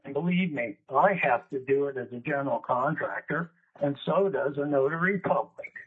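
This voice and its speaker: Husky man pleading to a committee. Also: medium pitch, expressive, moderate pace, phone-quality sound. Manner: pleading, earnest. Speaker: public testifier.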